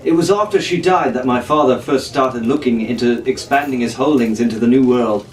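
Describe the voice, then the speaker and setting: Man playing gallant young gentleman, formal, proud, condescending, storybook sea adventure, echoey noisy recording. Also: mid-range voice, a little fast, lightly acted. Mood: solemn. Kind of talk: audiobook character voice. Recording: roomy, noisy, distant microphone.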